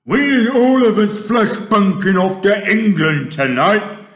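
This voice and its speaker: A man voicing huge, brutish male man-eating giants who bellow slowly in broken grammar. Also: high-pitched, big pitch swings, pitched above the performer's own voice, full character voice. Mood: gloating. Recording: roomy echo.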